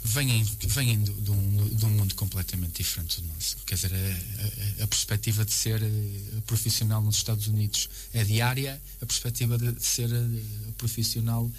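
A man with a European Portuguese accent giving a casual interview as a coach, haltingly and often repeating words and phrases. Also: fairly low pitch, fairly even intonation. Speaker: basketball coach, interview guest. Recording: clear background noise and hum.

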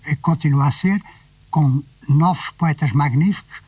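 Older portuguese guy, retired publisher, mellow husky voice, bit far from the mic. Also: fairly high pitch, normal speed, no room sound. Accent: European Portuguese. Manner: reflective, repeats phrases for emphasis. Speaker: retired book publisher, guest. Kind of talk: casual conversation.

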